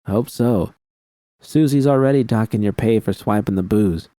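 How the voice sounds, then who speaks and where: A man's voice: lowish voice, normal speed, mellow, no room sound, lightly acted. A man voicing a tough, protective woman friend in an audiobook, blunt and streetwise. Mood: firm, concerned. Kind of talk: audiobook character dialogue.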